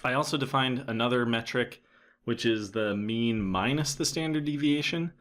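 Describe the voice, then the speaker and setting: A man in his thirties giving a casual, matter-of-fact software tutorial, thinking aloud as he goes. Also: medium pitch, normal speed. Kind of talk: casual screen-recorded tutorial narration.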